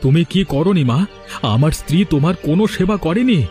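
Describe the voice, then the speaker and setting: A man's voice: on the high side, lively intonation, fairly quick, mellow, soft background music, natural voice. A man playing an earnest, protective married son in a melodramatic village cartoon, with a pleading, reproachful manner. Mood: angry, reproachful. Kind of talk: melodramatic cartoon family comedy acting.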